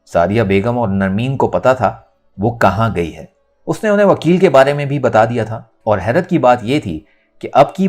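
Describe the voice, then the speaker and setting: Male narrator for serialized fiction, mid-pitched and highly animated, with music underneath. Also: slightly rough. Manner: expressive storytelling. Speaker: audiobook narrator. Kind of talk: dramatic scripted novel narration.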